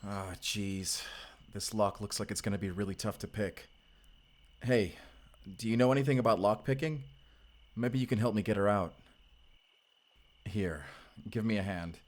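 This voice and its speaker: A lowish-pitched male vampire slayer, played by an actor, wry and casual. He quips like an action hero in a cinematic audio roleplay. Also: moderate pace, slight background noise, close to an everyday voice. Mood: strained effort.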